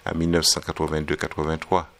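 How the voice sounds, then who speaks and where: A man interviewing a guest, asking slow leading questions with fillers. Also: rumbling low, monotone, a bit bright.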